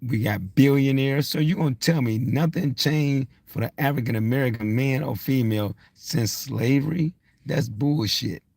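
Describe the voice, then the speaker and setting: A man's voice: medium pitch, moderate pace, gravelly, darkish tone, dry recording, clean studio sound. A man around sixty giving a blunt, insistent motivational rant, unscripted, hammering points through repetition. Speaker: social media motivational speaker.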